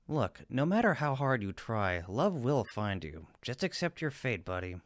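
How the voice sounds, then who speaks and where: A man's voice: medium pitch, very animated, normal speed, no room sound, studio quality, natural voice. A man playing a friendly cupid roommate in a comfort roleplay, teasing and supportive, with an emphatic, reassuring manner. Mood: excited teasing. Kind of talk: roleplay audio comfort acting, one-sided conversation.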